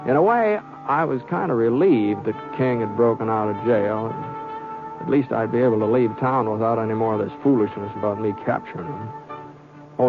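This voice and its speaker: An actor playing a reluctant, laconic drifter in a radio western, folksy and understated. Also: male voice, on the low side, close to an everyday voice. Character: reluctant, laconic drifter telling his own western tale. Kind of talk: old-time radio western acting and first-person narration. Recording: dull old radio recording.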